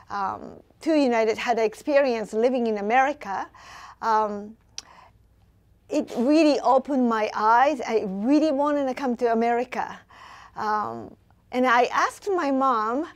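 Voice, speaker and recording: A Japanese woman in her fifties with a Japanese accent, answering interview questions earnestly with many pauses and fillers. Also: on the high side. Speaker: local businesswoman.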